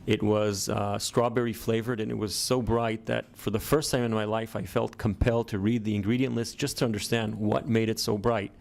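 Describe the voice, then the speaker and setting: Gravelly low-voiced American-accented founder. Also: male voice, level tone. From born in Israel, grew up in the US. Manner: measured, many ums and uhs. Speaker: startup founder. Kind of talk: business interview answers.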